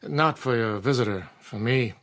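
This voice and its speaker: An actor playing a measured, wry small-town doctor giving his findings in a classic television drama. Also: medium pitch, fairly bright tone, close to an everyday voice. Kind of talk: 1960s television drama acting.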